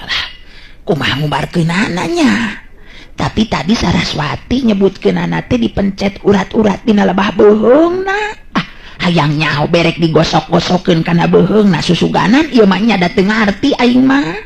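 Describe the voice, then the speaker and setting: A man's voice: high voice, animated, moderate pace, vocal fry, crowd noise, pitched above the performer's own voice, full character voice. A man voicing a kindly, anxious older village woman in a Sundanese radio story, muttering hesitantly with repeated words. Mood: hushed, unsure. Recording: dull lo-fi radio-style recording.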